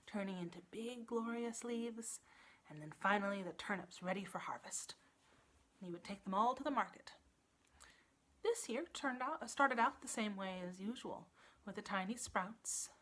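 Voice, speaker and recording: A woman librarian telling a children's story in an animated style, building drama with emphasis and repetition. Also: medium pitch.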